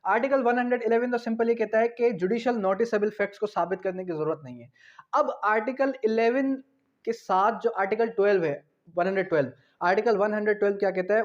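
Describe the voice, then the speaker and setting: A man's voice: high-pitched, quick pace. A man gives an explanatory lecture. He is didactic, repeating key phrases for emphasis and dropping in English terms. The recording is dull and lo-fi. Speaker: law teacher.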